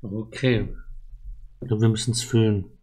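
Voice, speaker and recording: A man's voice: fairly low pitch, steady tone, a little slow, darkish tone, faint music behind. A man giving casual live commentary as he plays a game, musing aloud tentatively. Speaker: game streamer.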